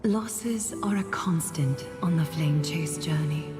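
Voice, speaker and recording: A woman voicing a grave, wise voice from memory in a video game, breathy and measured. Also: low-pitched. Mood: solemn. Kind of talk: dramatic video game voice acting. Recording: hall reverb with music.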